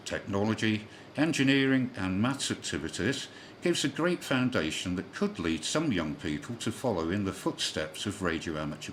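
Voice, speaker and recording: A man reading a scripted amateur radio news bulletin as a newsreader, with the occasional slip. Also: fairly low pitch. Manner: spells out callsigns in phonetic alphabet, occasional slips. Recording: clearly noisy shortwave radio broadcast.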